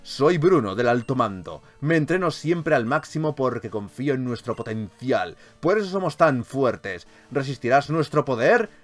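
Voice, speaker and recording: A man's voice: medium pitch, animated, moderate pace, few pauses, faint music behind, close to an everyday voice. A man from Spain voicing a proud, powerful male trainer boss in a video game, pompous and challenging. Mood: boastful.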